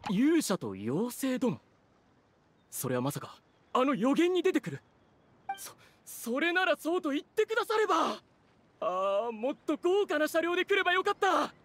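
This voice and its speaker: A man's voice: extremely high pitch, huge pitch swings, moderate pace, a bit bright, exaggerated voice. A man voicing a small toy-block train attendant in a game, polite, eager and easily flustered, with formal service speech. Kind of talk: Japanese anime game acting. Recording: faint music behind.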